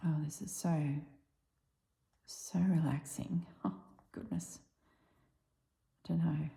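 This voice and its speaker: An Australian woman with a husky, slightly strained voice chatting casually while she stitches, softly dropping toward a whisper at times. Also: low voice, flat delivery, takes it slowly. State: voice strained from yelling. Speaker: embroidery vlogger. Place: tiled room with little on the floor. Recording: echoey tiled room.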